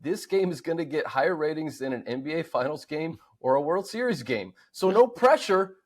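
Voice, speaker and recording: Higher-voiced American man hosting sports talk. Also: lively intonation, normal speed, a little mellow. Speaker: sports podcast host. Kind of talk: casual sports chat.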